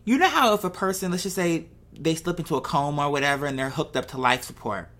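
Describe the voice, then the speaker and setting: A woman with a low-pitched voice speaks in a casual, unscripted vlog style. She thinks aloud as she goes, wandering conversationally through her points and often turning to the listener with rhetorical questions. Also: quick pace, a bit bright. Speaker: vlogger. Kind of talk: casual off-the-cuff monologue, thinking aloud to viewers.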